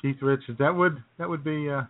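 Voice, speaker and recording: A man's voice: a little high, fairly even intonation, fairly quick, dry recording. Jokey male radio co-host, muffled sound. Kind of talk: casual comic chat. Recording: dull lo-fi radio audio.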